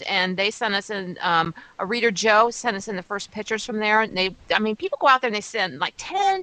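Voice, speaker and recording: A woman's voice: mid-range voice, bright, forceful tone, dry recording, low-quality recording. A woman casually presenting fan news on a podcast in a gushing, enthusiastic manner.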